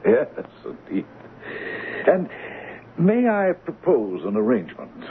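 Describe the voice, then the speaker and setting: Male con artist, persuasive and theatrical, hugely animated intonation, vintage 1970s radio drama sound. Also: a little high, slow, heavily acted. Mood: smooth, persuasive. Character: smooth-talking swindler, persuasive, grandiose schemer. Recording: noisy, tinny 1970s radio recording.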